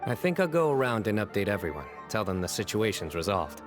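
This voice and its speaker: An actor playing a composed, dryly wry young man in a video game, measured and matter-of-fact. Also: on the low side, big pitch swings, over music. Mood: calm.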